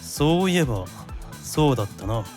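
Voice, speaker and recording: An actor playing a composed, guarded male investigator who narrates his own mystery in an audio drama with serious, measured delivery. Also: mid-range voice, sing-song, a little mellow, natural voice. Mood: relaxed, wry. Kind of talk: audio drama dialogue and inner monologue. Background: prominent music.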